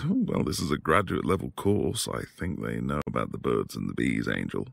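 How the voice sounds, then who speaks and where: A man acting a quiet, tender professor husband in an intimate boyfriend ASMR roleplay, soft-spoken and hesitant. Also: very deep voice, big pitch swings, normal speed, gravelly, husky, no echo, full character voice. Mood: fond, gently teasing. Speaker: audio roleplay voice actor.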